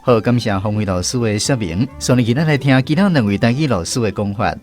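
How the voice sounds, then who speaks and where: A man's voice: medium pitch, animated, fast talker, a little mellow, soft background music. A man with a Taiwanese accent hosting a language lesson segment on the radio. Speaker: radio host.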